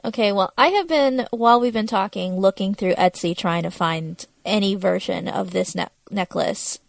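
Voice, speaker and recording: A woman's voice: mid-range voice, normal speed, vocal fry, no room sound. A woman co-hosting a podcast, chatting in a casual conversational style. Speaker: podcast co-host.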